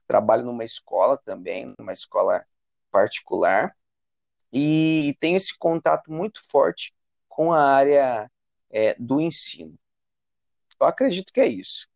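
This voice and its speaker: A man with a Brazilian accent from São Paulo state, explaining things conversationally as an interview guest, often halting and repeating words. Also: mid-range voice, animated, gravelly, darkish tone, no room sound. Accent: Brazilian Portuguese, São Paulo state. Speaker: English teacher and university student, interview guest.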